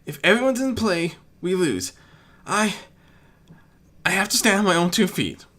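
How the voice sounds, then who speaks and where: A man's voice: sits high, expressive, moderate pace, sharp tone, voice pushed up, exaggerated voice. A man voicing a small young male dragon, an earnest hero who is frightened yet determined, in a dramatic story reading. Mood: frightened, resolving. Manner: stammering, earnest self-encouragement. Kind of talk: dramatic audiobook character voice.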